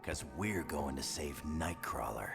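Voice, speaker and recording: An actor playing a blunt, tough male mutant with a gravelly, growling voice. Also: rumbling low, expressive, unhurried, in a large hall, music in the background, exaggerated voice. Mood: gruff. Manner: curt, growling.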